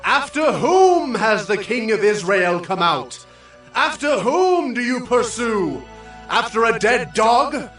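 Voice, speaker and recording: A man acting an earnest, reverent young Israelite warrior in a dramatized Bible story, declaiming with drawn-out emphatic words. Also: very high voice, big pitch swings, fairly bright tone, echoey room, far from the mic, faint music behind, full character voice. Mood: impassioned pleading.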